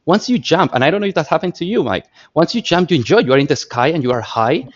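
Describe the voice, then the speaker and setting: A man in his thirties from Colombia with a Colombian Spanish accent, a podcast guest telling stories in lively, repetitive phrasing. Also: on the high side, fast, highs cut off. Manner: animated storyteller, repeats words while searching for phrasing. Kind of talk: casual interview talk.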